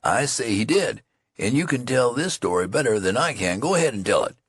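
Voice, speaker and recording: An excitable young soldier gushing news in a country drawl , put on by a male reader, pitched well up from a gravelly, husky base. It's loud and energetic, and the sound is dry and close. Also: fairly quick, dry recording. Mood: excited. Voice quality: breathless, eager country gossip.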